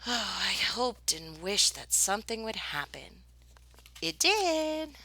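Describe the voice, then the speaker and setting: A woman reading a children's picture book aloud in an expressive, dramatic storytelling style. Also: medium pitch, slow, dry recording. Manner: dramatic, with whispered suspense and excited exclamations.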